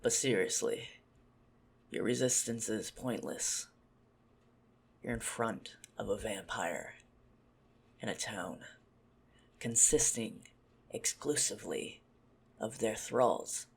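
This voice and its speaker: A man playing a possessive, menacing, smug vampire stalker in an ASMR roleplay, haughty and teasing in a deliberate murmur. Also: on the low side, creaky, slightly rough, exaggerated voice. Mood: mocking, haughty.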